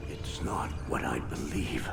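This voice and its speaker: An actor playing a dying, self-righteous zealot doctor in a video game, talking in a theatrical, measured, rhetorical way. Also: male voice, in a whisper, lightly acted. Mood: earnest, self-justifying. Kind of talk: video game cutscene acting.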